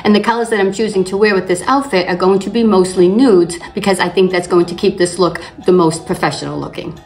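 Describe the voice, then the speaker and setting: An older woman hosting a beauty channel, slightly raspy from allergies, calmly explaining each makeup step in front of a fixed camera. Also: lowish voice. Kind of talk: makeup tutorial narration. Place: indoors in front of a plain backdrop.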